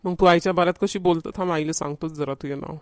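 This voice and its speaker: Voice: mid-range voice, fairly even intonation, quick pace, dry recording, close to an everyday voice. A performer voicing a food-loving, whiny young schoolboy in a homemade comedy cartoon, with a Varhadi Marathi accent. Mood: teasing, bossy. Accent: Varhadi Marathi from Vidarbha.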